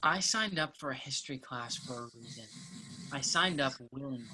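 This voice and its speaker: An actor playing a charming, earnest college soccer player in a virtual reading of a stage play. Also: male voice, mid-range voice, animated, a little fast, bright, forceful tone, a little hiss or hum, low-quality recording. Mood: earnest, pleading. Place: remote virtual reading over video call.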